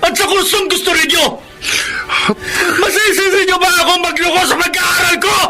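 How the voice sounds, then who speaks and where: A furious, resentful young son. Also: male voice, extremely high pitch, steady tone, moderate pace, poor audio. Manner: shouting, tearful outbursts. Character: angry young man, resentful rebellious son. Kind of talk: radio drama acting. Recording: music playing underneath.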